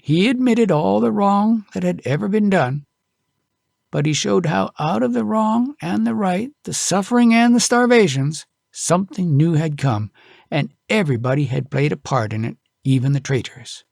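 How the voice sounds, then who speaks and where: A man reads a tall tale in a folksy, dramatic storyteller's style. His pitch sits a little high and moves around expressively, with a creaky grain in the voice. The sound is dry and intimate. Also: moderate pace, a little mellow, dry recording. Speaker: audiobook narrator.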